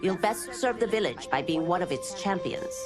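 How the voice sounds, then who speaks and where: Game role: blunt older female mentor, played by a woman, low register, expressive, brisk and wry, over prominent score. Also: loud music behind the voice, close to an everyday voice. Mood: stern, wry. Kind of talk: video game cutscene acting.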